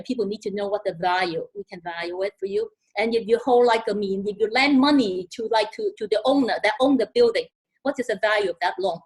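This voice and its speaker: A middle-aged woman from Hong Kong with a Hong Kong accent, speaking on a webinar panel, halting with many fillers and repeated words. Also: mid-range voice, low-quality recording.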